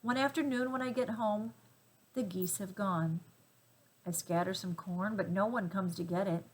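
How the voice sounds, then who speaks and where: A woman reading a children's storybook aloud with gentle, deliberate phrasing and dramatic emphasis. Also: medium pitch, rough recording. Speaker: storybook reader.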